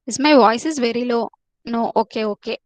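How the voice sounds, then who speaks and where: A woman's voice: fairly high pitch, a little fast, no echo. A woman teaching a live online class, repeating herself and coaxing students to answer in the chat.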